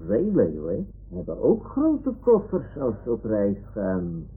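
A man's voice: on the low side, huge pitch swings, slow, husky, heavily acted. A man voicing a self-important toy lion who poses as an old seafarer in a radio play, speaking in boastful declarations. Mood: matter-of-fact. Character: boastful toy lion posing as sea lion and retired sea captain. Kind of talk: 1960s-70s Dutch radio drama acting. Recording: muffled, phone-like old radio recording.

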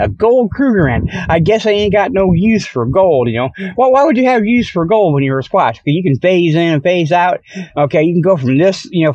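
Male solo podcast host, slightly high voice, colloquial and folksy, wandering tongue-in-cheek delivery, muffled lo-fi recording. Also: a little mellow, no echo. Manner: folksy, colloquial speech with frequent "okay" and "you know".

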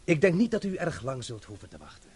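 Husky, polite male investigator. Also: mid-range voice, wildly swooping pitch, fast, darkish tone, heavily acted. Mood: brisk planning. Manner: courteous, businesslike. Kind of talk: Dutch radio play acting.

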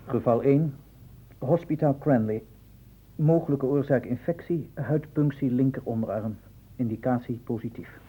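An actor playing a deferential assistant who reports in clipped, orderly phrases, in a Dutch radio drama on an old recording. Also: male voice, moderate pace, husky, narrow, tinny audio, natural voice. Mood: factual, orderly. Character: deferential male assistant reading out medical case reports. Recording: old radio recording, faint rumbling noise.

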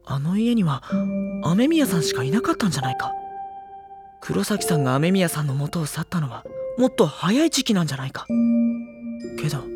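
A serious brooding schoolboy in an audio drama, asks questions w dramatic pauses, music behind him. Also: male voice, sits high. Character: earnest, brooding teenage schoolboy piecing together a mystery. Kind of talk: Japanese audio drama acting, introspective narration.

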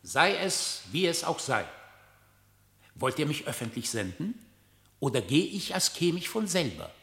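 An actor playing an eager, loyal badger volunteering as royal envoy in a verse radio play, in formal theatrical declamation. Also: male voice, a little high, big pitch swings, a little slow, creaky, a bit bright, full character voice. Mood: eager, deferential. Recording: long reverberant hall echo.

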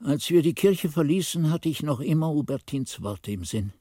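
A man's voice: a little high, expressive, normal speed, dark tone, dry recording, clean studio sound, natural voice. An actor playing an old monk who narrates his youth in a radio drama, musing and questioning his own memory. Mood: musing, bewildered.

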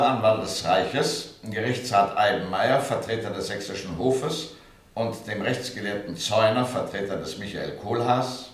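Ein Schauspieler spielt in einem deutschen Hörspiel einen strengen, autoritären Gerichtspräsidenten, der förmlich und bestimmt deklamiert. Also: male voice, on the low side. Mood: formal, solemn. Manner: formal, declamatory, firm. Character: stern, authoritative male high court president and statesman. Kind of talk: German radio play acting. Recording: roomy, echoing chamber sound.

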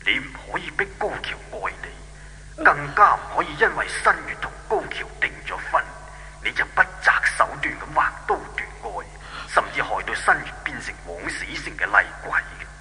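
Wise old heavenly god, hong kong cantonese, very piercing preachy delivery, noisy 70s radio drama tape. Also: male voice, a little high, huge pitch swings, creaky, pushed and bright, full character voice. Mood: stern, lecturing. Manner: preaching, chuckling, drawn-out didactic phrasing. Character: old male heavenly god, wise, kindly admonishing guide. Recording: noisy hum, long reverb, old radio recording.

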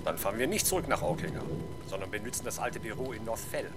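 An actor playing a weary, sardonic middle-aged police inspector in a German radio crime drama, grumbling with dry irony. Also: male voice, fairly low pitch, lively intonation, fast talker, a crowd in the background, close to an everyday voice. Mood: dryly ironic. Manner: grumbling, dryly ironic. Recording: faint background noise.

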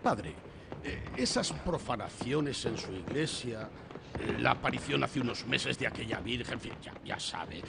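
An actor with a Castilian Spanish accent playing a wily, chatty homicide lieutenant who questions in a rambling, insinuating way, in a radio drama. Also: male voice, mid-range voice, lively intonation, unhurried, gravelly, very noisy. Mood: probing, confiding. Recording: music under the voice.

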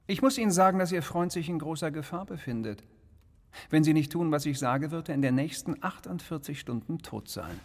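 An actor playing a secretive, courteous and menacing crime lord in a radio drama, with measured, theatrical delivery. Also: male voice, on the high side, animated. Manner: measured, theatrical, dropping into whispers. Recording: very reverberant hall.

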